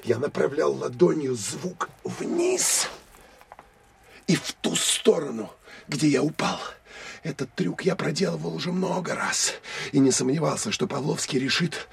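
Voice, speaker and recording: An actor playing a hardened, sardonic counterintelligence officer who tells of an armed pursuit in an intense, expressive first-person audio drama. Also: male voice, a little high, creaky, husky, exaggerated voice. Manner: intense, expressive storytelling with wry asides.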